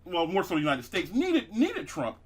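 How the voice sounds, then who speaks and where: A man's voice: high-pitched, huge pitch swings, moderate pace, husky. A man hosting a casual comedy podcast, rambling with many fillers and restarts and laughing at his own jokes. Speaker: podcast host.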